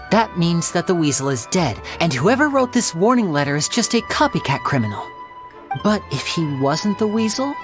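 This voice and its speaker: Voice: medium pitch, close to an everyday voice. An actor playing a suave, courteous young male stage magician in an anime-style video game, with theatrical, polite delivery. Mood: playful, intriguing.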